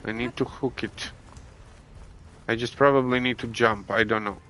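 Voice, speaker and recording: A man from Latvia with a Latvian accent, streaming a video game and chatting casually with viewers, halting with many restarts and fillers. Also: mid-range voice, steady tone, bright, forceful tone, faint music behind. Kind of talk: casual gameplay chat with viewers. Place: home gaming setup.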